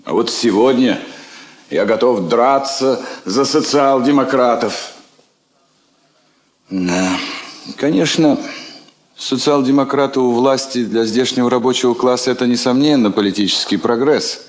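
An actor playing a bookish, passionate middle-aged diplomat, wry and rhetorical, in a theatrical radio drama. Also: male voice, medium pitch, unhurried, long pauses, natural voice. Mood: indignant, wry.

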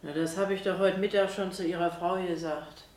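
An actress playing a plain-spoken, caring housemaid in a German radio drama, simple and hesitant in manner. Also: female voice, fairly low pitch, fairly even intonation, a little fast, a little mellow, roomy sound, lightly acted. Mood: concerned.

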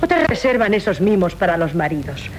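An actress playing a bustling, teasing, protective nursemaid in Spanish radio theatre, wry and familiar, with a Castilian accent. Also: female voice, lowish voice, very animated, a little fast. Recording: faintly noisy 1970s radio recording.

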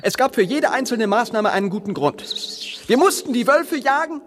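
An actor playing a panicky, defensive man on trial in a radio play, excitable and stammering as he justifies himself. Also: very high voice, normal speed, a little hiss or hum. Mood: defiant, defensive. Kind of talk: German radio play acting.

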